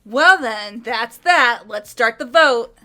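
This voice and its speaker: A woman voicing an energetic, pushy young woman in a fan voice-acted game. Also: fairly high pitch, takes it slowly, full character voice. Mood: eager.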